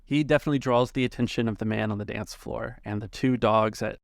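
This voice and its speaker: A man working as game master, narrating a tabletop game off the cuff in measured, halting phrases. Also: medium pitch, fairly even intonation, vocal fry, dry recording, clean studio sound.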